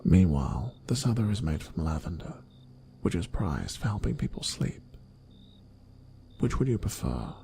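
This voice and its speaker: A man voicing a kindly, fatherly wolf farmer in a soft-spoken, whispered ASMR roleplay, soothing and unhurried as he murmurs. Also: low-pitched, sing-song, creaky, a little hiss or hum, full character voice. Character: kindly dad-bod wolf farmer, gentle, fatherly caretaker. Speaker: ASMR performer.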